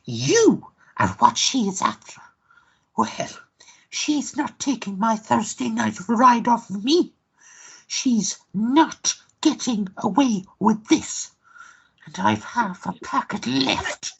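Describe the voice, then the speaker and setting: A woman playing a jealous, sharp-tongued lover in a radio play, indignant and melodramatic. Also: on the high side, big pitch swings, takes it slowly, vocal fry, breathy edge, muffled recording, heavily acted. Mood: bitter, resentful.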